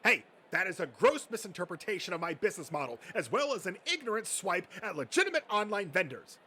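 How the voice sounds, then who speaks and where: A man's voice: high voice, sing-song, a little fast, sharp tone, full character voice. A man voicing a pompous, defensive comics collector with a wordy, sneering delivery in an amateur comic dub, on a slightly noisy recording. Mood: indignant. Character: Bill, pompous, defensive male comics collector and mocking rival.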